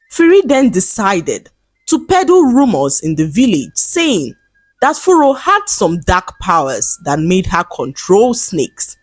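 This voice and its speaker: A woman narrating a scripted folktale in a slow, measured storytelling manner. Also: mid-range voice, husky, dry recording.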